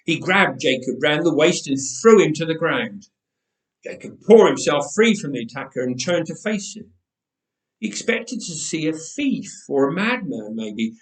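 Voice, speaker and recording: A man reading a story aloud with expressive, dramatic pauses, recorded in an echoey church. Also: on the high side, distant mic. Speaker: storyteller, reader. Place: church, indoors.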